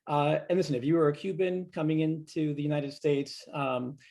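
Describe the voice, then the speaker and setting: Guest speaker, middle-aged man from Cuba, gravelly voice, thoughtful and measured, heard over a video call. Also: on the high side, steady tone, dark tone. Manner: reflective, measured, with frequent fillers. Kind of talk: conversational storytelling in a fireside chat.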